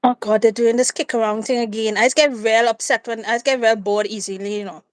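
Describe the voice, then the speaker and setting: A woman's voice: fairly high pitch, steady tone, fast, dry recording. Female livestream co-host, Trinidadian accent, chatty and playful, recorded dry at home. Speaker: co-host of a live watchalong. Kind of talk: casual live chat. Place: indoors in a room at home.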